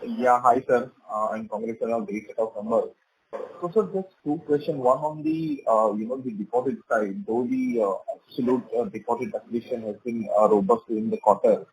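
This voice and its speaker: A man with an Indian accent, an analyst putting questions on a call in halting, hesitant phrases. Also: mid-range voice. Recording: faintly noisy conference phone line.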